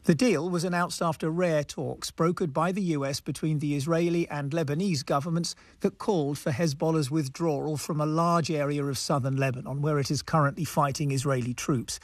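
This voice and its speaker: Higher-voiced man reporting news, very dry. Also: no room sound.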